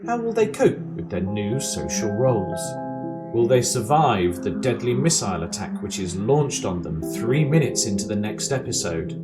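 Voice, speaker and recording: A man's voice: fairly low pitch, very animated, normal speed. A man playing a mock-suspenseful narrator, reading the scripted cliffhanger of a radio serial. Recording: loud music behind voice.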